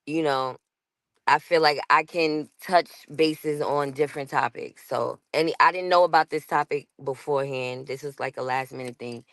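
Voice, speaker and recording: A woman's voice: low-pitched, steady tone, moderate pace, no echo. Chatty New York woman, dry sound. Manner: chatty, laughs often, self-interrupting. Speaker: panel guest. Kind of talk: casual panel talk.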